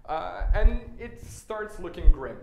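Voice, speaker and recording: A man's voice: high-pitched, very animated, slow pace, vocal fry. Serbian-born man giving a casual meetup talk. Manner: casual, many "uh" fillers, wry asides. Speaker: conference speaker. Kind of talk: conference talk with slides. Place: meetup stage, indoors. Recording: echoey room, faint noise.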